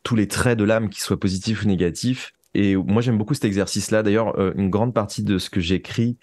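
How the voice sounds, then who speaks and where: A man's voice: on the low side, fairly even intonation, a little fast, darkish tone, no echo. A man speaking as a writer in a reflective interview, searching for his phrasing and repeating words as he goes. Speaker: guest, writer of audio fiction.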